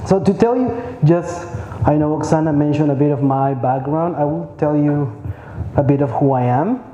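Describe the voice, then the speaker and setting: A man's voice: a little high, moderate pace, dark tone, a little hiss or hum. A man, giving an informal conference talk and often repeating words as he searches for them. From Peru. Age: 30s. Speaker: conference speaker. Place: large conference hall. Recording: echoey hall with faint ambience.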